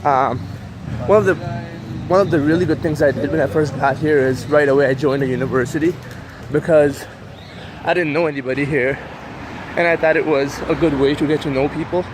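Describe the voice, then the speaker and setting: A young man whose first language is Urdu rambles casually as he films himself walking down a city street, full of fillers and easy asides. His voice sits a little high, and the hum of cars and street traffic runs clearly underneath. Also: steady tone, a little fast. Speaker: vlogger. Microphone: handheld camera while walking. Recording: street traffic noise behind.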